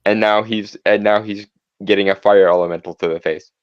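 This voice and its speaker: A man playing a tabletop game, talking casually in a measured, wry, wordy way over a slightly dull microphone. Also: lowish voice, steady tone, clean tone, no room sound.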